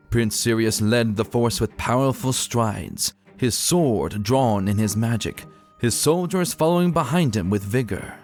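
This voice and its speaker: A man narrates an epic fantasy story in a lowish voice. His delivery is measured and theatrical, with a solemn weight to the phrasing. Music plays faintly behind him. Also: a little mellow. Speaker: narrator of a fan fiction audio reading. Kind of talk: dramatic scripted storybook narration.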